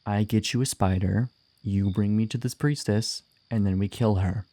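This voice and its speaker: A man playing a gruff, wry, flirtatious monster hunter and bounty hunter in an immersive fantasy audio roleplay. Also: fairly low pitch, fairly even intonation, moderate pace, mellow, dry recording. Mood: sly, bargaining. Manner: teasing, wry, swearing, sighing.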